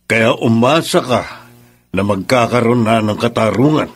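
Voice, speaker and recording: Husky, emphatic male lawyer. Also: medium pitch, unhurried. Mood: reassuring. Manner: emphatic, dramatic. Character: protective, indignant male lawyer avenging a client. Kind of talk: Filipino radio drama acting.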